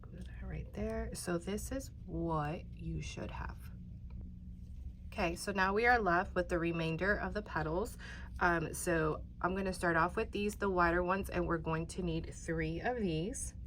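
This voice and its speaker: A woman's voice: on the low side, unhurried, a bit bright, a little hiss or hum. A woman hosting a casual paper craft tutorial, a bit congested, chatty and rambling, often dropping to a near-whisper while she works. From Texas. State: congested, stuffy-sounding.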